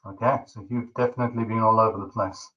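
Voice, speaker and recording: A man's voice: lowish voice, steady tone, fast talker, muffled recording. A man with a South African accent hosting a webinar, chatty and jokey with lots of fillers. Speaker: webinar host.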